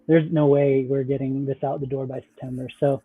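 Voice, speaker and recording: A man's voice: medium pitch, little pitch movement, a little fast, husky, phone-quality sound, faint music behind. A man giving casual interview answers as a guest, explaining loosely in conversational filler-laden phrases over thin call audio. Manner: loose, explanatory, peppered with "like" and "you know". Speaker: startup founder, interview guest.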